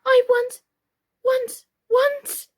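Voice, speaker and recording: A woman voicing a scatterbrained, excitable female doll in a children's storybook, who stammers and repeats words. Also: very high voice, extremely slow, pitched above the performer's own voice, full character voice. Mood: tearful, frantic.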